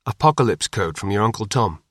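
A man's voice: lowish voice, very animated, fairly quick, a bit bright, no room sound, studio quality. A man reading an audiobook voices a terse, urgent father who gives curt, commanding orders. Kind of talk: audiobook character dialogue.

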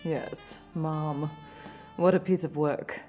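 Mellow-voiced sultry noir femme fatale. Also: female voice, sits low, steady tone, slow pace. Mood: wistful, confiding. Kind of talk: noir radio-drama acting. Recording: studio production with music underneath. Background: music.

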